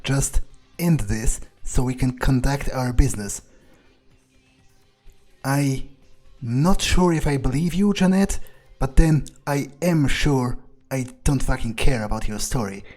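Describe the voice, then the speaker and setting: A man doing an in-character game playthrough, thinking aloud in a gruff, blunt, halting way with plenty of swearing. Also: mid-range voice, lively intonation, a little mellow, faint music behind. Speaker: gamer playing in character.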